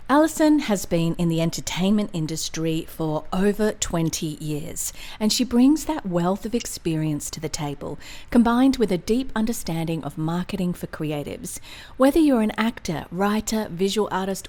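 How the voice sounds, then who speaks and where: A woman's voice: medium pitch, dark tone. A woman speaking as a podcast host and interviewer. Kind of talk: scripted introduction, then conversational interview.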